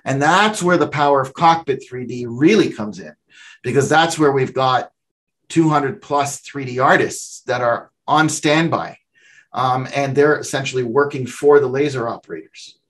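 A man's voice: mid-range voice, moderate pace, fairly bright tone. Man explaining things on a video call. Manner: explanatory, gestures a lot with his hands. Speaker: company founder explaining software. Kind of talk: video call interview answer. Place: home office.

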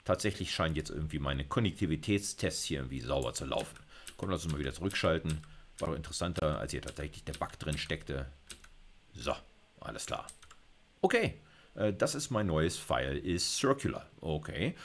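A man's voice: very deep voice, lively intonation, fairly quick, slight background noise. A middle-aged man giving an informal computer science lecture, thinking aloud as he codes live. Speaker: university lecturer. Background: computer keyboard typing.

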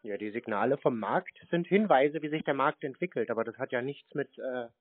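A man's voice: mid-range voice, a little fast, no echo. Pedantic man on a narrow phone line. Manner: contrarian, pedantic. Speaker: scam-baiter taking calls. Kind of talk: argumentative phone conversation.

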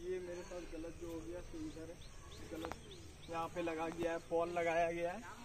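A man's voice: fairly high pitch, completely flat delivery, normal speed, heavy background noise. Young man touring bare echoey rooms. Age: young adult. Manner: chatty, walks while talking to a filming sister. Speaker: vlogger giving a house tour. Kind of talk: casual home tour vlog. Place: small concrete house, bare rooms and rooftop. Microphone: camera held by someone else, a few steps away. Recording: echoey bare rooms, distant camera mic.

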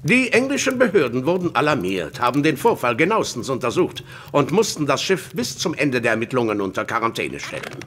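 Stern conspirator boss, animated pitch. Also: male voice, a little hiss or hum. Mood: commanding. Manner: formal, deliberate, authoritative briefing. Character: stern, scheming male leader of conspirators. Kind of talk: audio drama acting.

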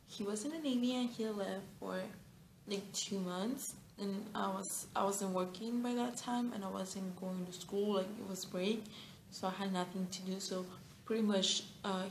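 A woman's voice: mid-range voice, creaky, reverberant, slight background noise, poor audio. An eighteen-year-old woman with a Mexican Spanish accent, a native Spanish speaker, chatting casually to camera with lots of fillers, restarts and giggles. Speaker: beauty vlogger. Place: small bright room indoors.

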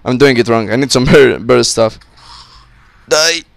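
Young male gamer, gravelly voice, a bit sick, close dry mic, rowdy casual commentary. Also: mid-range voice, moderate pace, dry recording. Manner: excitable, swearing, joking with friends. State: sick, voice a little off. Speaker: gameplay commentator. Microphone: close-up desk mic.

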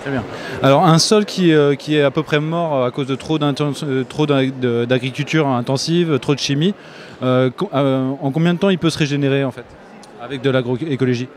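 A man interviewing a guest, asking questions in a halting way full of fillers and restarts, into a handheld mic. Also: mid-range voice.

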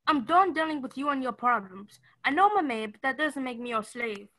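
A woman's voice: high-pitched, animated, a little fast, a bit bright. A woman playing a put-upon maid in a mystery radio play, polite and earnest but with some defiance. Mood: defiant. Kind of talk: stage-style mystery radio play acting.

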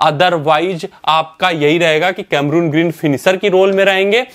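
High-voiced young man breaking down cricket. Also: steady tone, moderate pace, a bit bright. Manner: explanatory, with fillers and self-corrections. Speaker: cricket news presenter. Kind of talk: cricket analysis talk to camera. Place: indoor studio in front of a screen.

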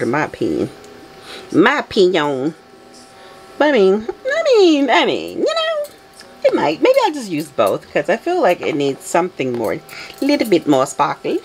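A woman's voice: low-pitched, huge pitch swings, moderate pace, slight background noise. A woman chatting casually to herself as she works through a soap-making tutorial at a home table. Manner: chatty, talks to herself, self-correcting. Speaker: soap maker. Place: home craft table, indoors.

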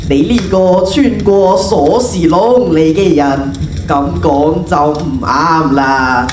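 Teenage girl playing an elderly potter, low and echoey. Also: slow pace, background noise. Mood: earnest. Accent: Hong Kong Cantonese. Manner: theatrical, exclamatory. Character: elderly reclusive tea-bowl potter, earnest and wary. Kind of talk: school radio drama acting. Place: large auditorium stage. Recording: echoey hall from far off, music behind.

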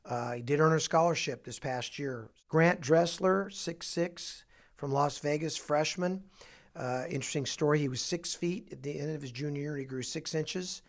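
A middle-aged man, a basketball coach, talking through his roster in an office interview with a handheld mic, halting with fillers and restarts. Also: on the high side. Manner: halting, many fillers and restarts, upbeat emphasis on each name. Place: office, indoors.

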